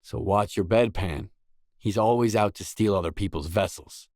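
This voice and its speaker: An actor playing a weary, laconic stalker in a video game, a hardened loner with a terse, guarded, deadpan delivery. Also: male voice, on the low side, normal speed, no echo, clean studio sound, close to an everyday voice. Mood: dry joke-telling. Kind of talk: isolated game dialogue lines.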